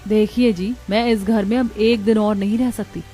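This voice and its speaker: A woman's voice: mid-range voice, steady tone, normal speed, dark tone, soft background music, close to an everyday voice. A woman playing a put-upon young daughter-in-law in a cartoon story, complaining in an earnest, fretful way.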